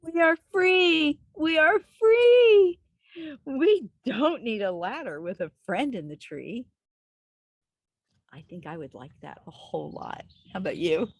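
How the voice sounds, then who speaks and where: A woman reading a picture book aloud to young children outdoors, expressively, pausing to put questions to the listener. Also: high voice, expressive, dry recording. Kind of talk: animated read-aloud storytelling for young children. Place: outdoors in a backyard with trees.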